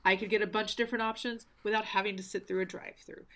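A young woman with a middle-pitched voice and an almost neutral American accent, left from a Southern upbringing. She reads aloud from a novel and mocks it, with sarcasm and plenty of swearing. The talk is loose, joking podcast chat. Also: fairly even intonation, racing, pushed and bright. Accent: faint General American with little Southern trace. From Arkansas, US South. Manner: sarcastic, swears freely, laughs at the text. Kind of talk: casual comedic book-reading chat.

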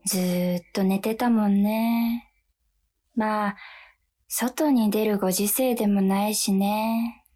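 A woman's voice: medium pitch, fairly even intonation, takes it slowly, mellow. A woman playing a cute, seductive demon of May blues in a radio drama, sweetly and languidly coaxing someone to be lazy. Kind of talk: Japanese radio drama acting.

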